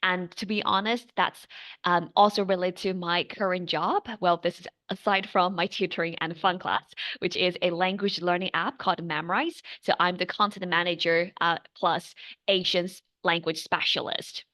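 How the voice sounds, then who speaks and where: A young Chinese-accented woman speaks in a somewhat low voice, earnest and steady. She is a guest answering questions and keeps pausing on fillers and restarting phrases. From China. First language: Mandarin. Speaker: guest tutor. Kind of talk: interview answers.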